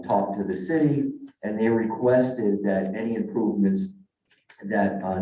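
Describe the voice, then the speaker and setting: A man presenting a project to a zoning board in a halting, informal way with many fillers. He is tired and losing his voice. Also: deep voice, dark tone, slight background noise. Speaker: developer's representative presenting a project. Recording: dull, echoey council chamber audio, mic at a distance.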